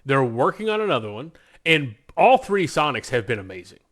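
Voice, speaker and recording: A male podcast co-host talks in a loose, conversational way with a mid-pitched voice. He is enthusiastic and emphatic, stressing his points as he chats. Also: sing-song, a little fast, fairly bright tone. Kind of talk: casual podcast chat about movies.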